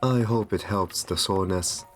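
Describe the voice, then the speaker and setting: A man playing a courtly, soothing vampire in an ASMR roleplay, speaking softly and genteelly with a possessive kindness. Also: low-pitched, faint music behind, natural voice. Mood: soothing, caring. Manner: soft, soothing, genteel, unhurried.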